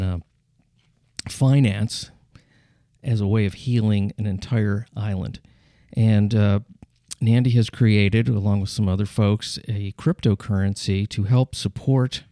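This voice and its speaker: A man hosting a podcast, introducing the show and guest in a relaxed way, then asking questions. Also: lowish voice, no room sound, studio quality. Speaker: podcast host.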